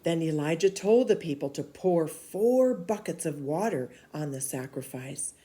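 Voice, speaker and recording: A woman reading a children's storybook aloud in an expressive, animated way, dropping to hushed asides. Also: low-pitched, unhurried. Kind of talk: read-aloud of a children's book.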